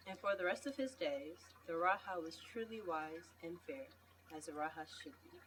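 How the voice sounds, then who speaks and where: A woman's voice: lowish voice, animated, moderate pace, a bit bright. A woman reading a storybook aloud, picked up by a distant mic with noise behind her. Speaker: reader at a read-aloud event. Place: school library. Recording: distant mic, noisy room.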